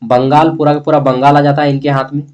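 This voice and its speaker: A man's voice: fairly high pitch, flat delivery, fast, a little mellow. A man with an eastern Indian Hindi accent from the Bihar-Jharkhand belt teaches history informally, repeating points and answering his own questions. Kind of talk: informal exam-prep lesson, explaining a text.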